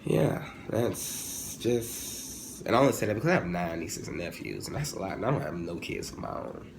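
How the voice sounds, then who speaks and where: A man in his twenties giving a casual, humorous opinion monologue as a vlogger, animated and informal, often digressing and correcting himself. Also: lowish voice, moderate pace. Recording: clearly noisy, ambient background sound.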